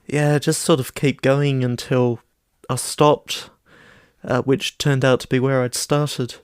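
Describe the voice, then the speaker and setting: A man's voice: medium pitch, flat delivery, slow, smooth, no echo, clean studio sound. A man co-hosting a podcast in casual chat, understated and hesitant as he rambles along. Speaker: podcast co-host.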